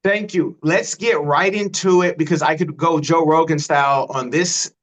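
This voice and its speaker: A man's voice: on the high side, steady tone, normal speed, fairly bright tone. A man hosting a business podcast, introducing his guest in an enthusiastic, informal way. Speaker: podcast host. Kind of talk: casual interview hosting.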